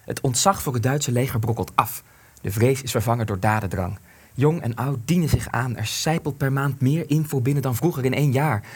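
Husky, steadfast resistance man played by an actor. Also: medium pitch, a little mellow, close to an everyday voice. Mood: earnest, hopeful. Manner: measured, earnest, reasoning. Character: steadfast resistance man in hiding, patient and hopeful. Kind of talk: Dutch radio play acting.

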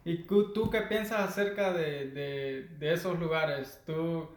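A man from El Salvador with a Salvadoran Spanish accent, co-hosting a casual podcast chat, earnest and reflective and quick to laugh. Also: a little high, echoey room, a little hiss or hum. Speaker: podcast co-host.

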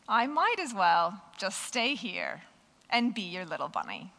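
A hoarse woman voicing a playful little boy bunny from a storybook, in a sing-song read-aloud voice. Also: mid-range voice, big pitch swings, unhurried, pushed and bright, long reverb tail, exaggerated voice. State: croaky, hoarse voice. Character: runaway little male bunny, playful child.

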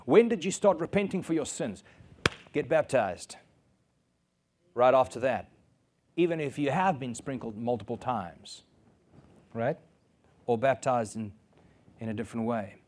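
A man preaches a sermon in English, earnest and direct. He leans on repetition, saying key phrases twice to press his point home. His voice sits at an ordinary middle pitch for a man. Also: expressive, unhurried, pauses often, darkish tone. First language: Afrikaans. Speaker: pastor.

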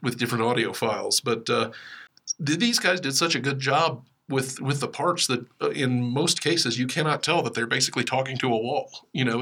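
A man's voice: medium pitch, vocal fry, bright, forceful tone. A man hosting a podcast in a casual, behind-the-scenes chat style. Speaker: podcast host.

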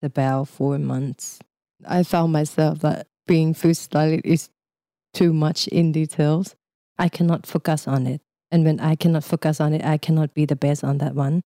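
A woman's voice: low-pitched, steady tone, moderate pace, dark tone, no room sound, clean studio sound. A young Thai woman with a Thai accent, speaking English as a second language in a casual, chatty interview and laughing often. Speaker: guest.

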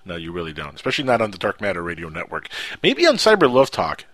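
A man with a Cuban accent hosting a casual radio talk show, animated and quick to raise his voice. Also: sits low, dry recording. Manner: animated, quick to jump to loud outbursts.

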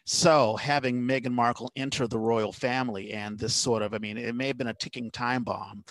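A man's voice: mid-range voice, fairly even intonation, normal speed, no room sound. A man hosting a casual interview, talking in a rambling, conversational way. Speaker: podcast host. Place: indoors at home, on a video call.

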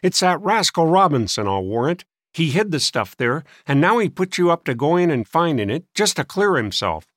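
A man voicing an irritable, suspicious old gentleman in an audiobook, testy and scoffing. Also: on the high side, sing-song, normal speed, few pauses, dry recording, clean studio sound, pitched above the performer's own voice. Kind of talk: audiobook character voice.

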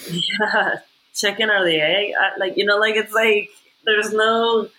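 Emphatic bright-voiced speaker, swears freely. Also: medium pitch, flat delivery, measured pace, poor audio. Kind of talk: casual spiritual chat.

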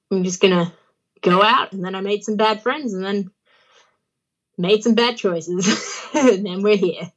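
A woman's voice: fairly low pitch, poor audio. Chatty Australian woman, phlegmy throat. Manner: chatty, laughs often, many fillers. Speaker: guest, pro wrestler. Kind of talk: casual interview chat.

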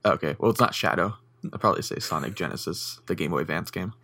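A man's voice: sits low, fast, pushed and bright, no room sound. A young man co-hosting a casual podcast, speaking matter-of-factly and often repeating his words as he starts. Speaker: podcast co-host.

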